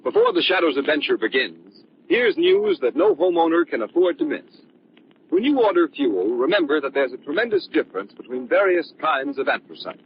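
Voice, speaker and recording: Very animated old-time radio announcer, male. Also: a little high, a little fast, gravelly. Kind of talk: 1930s radio announcing, scripted. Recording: muffled, noisy 1930s radio recording.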